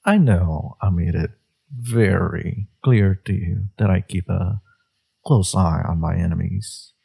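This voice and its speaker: A man playing a flirtatious, teasing villain in an intimate romance audio roleplay, murmuring coyly in a low, breathy voice. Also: takes it slowly, heavily acted. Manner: teasing, coy, murmuring with soft chuckles. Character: flirtatious, teasing male villain, secretly smitten enemy.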